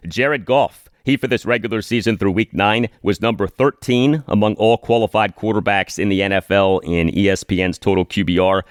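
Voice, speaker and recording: Male sports podcast host, lowish pitch, dry sound, measured analytical delivery. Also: smooth, no room sound.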